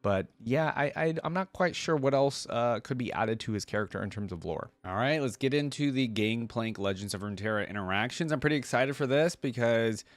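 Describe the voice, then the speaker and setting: A man's voice: mid-range voice, expressive, fairly quick, hardly any pauses, no echo. A man hosting a reaction video, reading aloud and commenting in an informal, chatty way.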